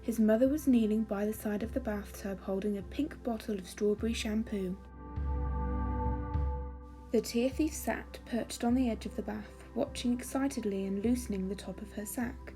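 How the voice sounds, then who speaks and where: A woman storyteller giving a calming, expressive, measured reading of a children's fairy story. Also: mid-range voice, music in the background.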